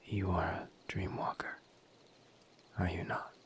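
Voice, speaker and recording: A man voicing a calm, formal misery demon in an audio roleplay, speaking softly in a breathy near-whisper with measured pauses. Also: sits low. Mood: polite, curious. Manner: measured, halting, formal diction with long pauses. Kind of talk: audio roleplay, intimate scripted monologue.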